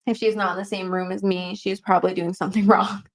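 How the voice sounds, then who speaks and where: A woman's voice: medium pitch, level tone, fast talker. A tired woman with a faint Boston accent, co-hosting a casual live Q&A and reading viewer questions aloud with frequent laughs. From Boston area. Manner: chatty, giggly, reads comments aloud.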